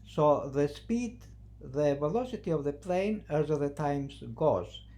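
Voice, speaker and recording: A non-native English speaker teaching math, thinking aloud haltingly and repeating phrases while writing out the steps. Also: mid-range voice, lively intonation, dark tone. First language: not English. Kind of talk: math lesson, working through a problem at the board. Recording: noisy, with a constant hum.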